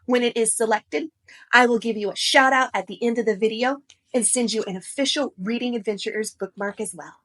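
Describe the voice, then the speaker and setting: A woman hosting a children's read-aloud show, speaking to kids in an expressive, instructive, scripted way. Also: on the high side, a little fast.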